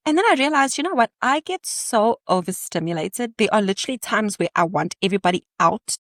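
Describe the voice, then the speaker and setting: A woman's voice: mid-range voice, expressive, brisk, a bit bright, no echo, clean studio sound. A woman in her thirties, a podcast guest, telling candid stories in conversation with playful asides and easy laughter.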